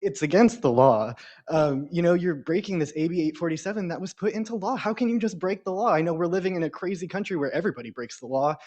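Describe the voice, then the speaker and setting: A man speaks during public comment with clear indignation. He repeats his key lines for emphasis, and his voice sits a little above average pitch. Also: fast talker, darkish tone.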